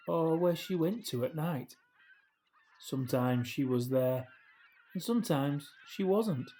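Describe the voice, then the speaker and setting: A man narrating a children's story as a storyteller, with measured, expressive phrasing and deliberate pauses. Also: medium pitch.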